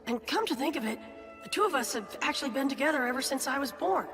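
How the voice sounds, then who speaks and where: A woman voicing a grown male ninja hero in a dubbed anime, earnest and sentimental with a husky boyish tone. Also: high voice, lively intonation, fast. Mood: nostalgic, tender. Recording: reverberant, music underneath.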